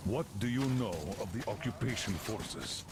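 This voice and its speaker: An actor playing a stern older military commander who briefs with brisk authority in a video game. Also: male voice, on the low side, noisy background, natural voice. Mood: questioning.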